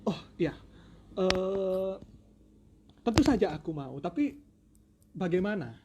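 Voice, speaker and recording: Young male folk-tale hero, acted by a man: chivalrous, earnest, hesitant, high-pitched. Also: expressive, takes it slowly, background noise, heavily acted. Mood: eager, unsure.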